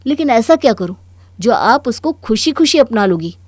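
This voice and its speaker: A woman's voice: a little high, big pitch swings, moderate pace, no room sound, clean studio sound. A woman voicing a young married man in a cartoon story, firm and reasoning, mildly exasperated. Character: calm, firm young married son reasoning with his mother. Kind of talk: Hindi cartoon story dialogue.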